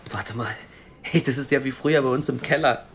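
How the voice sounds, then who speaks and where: A man's voice: mid-range voice, slight background noise, natural voice. An actor playing a cocky, teasing male hacker in an audio drama. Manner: teasing and jokey, rushes when excited. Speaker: hacker colleague.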